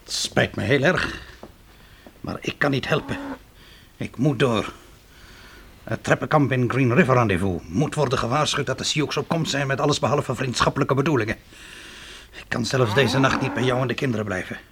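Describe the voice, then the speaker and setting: An actor playing a gruff, commanding frontier trapper in a Dutch radio play, speaking brusquely and urgently. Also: male voice, medium pitch, moderate pace, long pauses, background noise, lightly acted. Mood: grave, regretful. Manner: brusque, urgent, giving orders.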